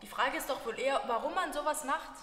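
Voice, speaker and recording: Teen girl, sarcastic and dismissive, slightly high, in a roomy classroom. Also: quick pace, a crowd in the background. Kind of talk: amateur short film acting. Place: classroom indoors.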